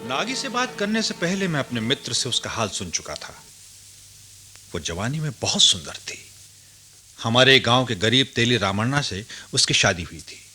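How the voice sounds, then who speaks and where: A man's voice: medium pitch, big pitch swings, normal speed, pushed and bright, slightly rough, heavily acted. A man playing a reflective grown householder who narrates his memories in a radio play.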